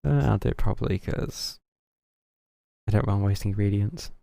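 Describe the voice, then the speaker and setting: Low-key man with gravelly creak. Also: moderate pace, warm tone, no echo, clean studio sound. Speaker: game streamer. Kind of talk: casual game commentary. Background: faint game music.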